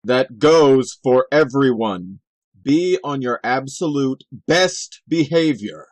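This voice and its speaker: A man playing a dutiful, stern knight retainer who speaks in a formal, measured, admonishing way in a fan audio drama. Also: mid-range voice, lively intonation, dry recording.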